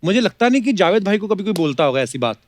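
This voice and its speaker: A man's voice: high voice, big pitch swings, fast talker. A man hosting a comedy talk show, putting questions in playful banter.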